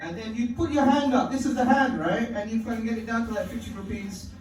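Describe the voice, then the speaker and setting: A man's voice: very high-pitched, brisk, a little hiss or hum. A man from performing a stand-up set in a comedy club, wry and profane, chuckling at his own lines. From London. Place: comedy club stage, indoors. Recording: echoey club audio from far off.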